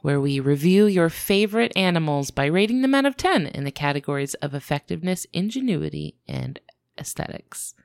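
A woman's voice: low-pitched, lively intonation, a little fast, vocal fry, a little mellow, dry recording. A woman co-hosting an animal podcast in casual chat, teasing her co-host playfully while nursing a cold. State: has a cold.

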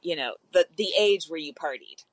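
A lower-voiced woman comic in casual podcast chat. She speaks easygoing and wry, padding with fillers. The recording is dry, with no room sound. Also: lively intonation, normal speed, no echo, rough recording. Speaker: podcast co-host, stand-up comic.

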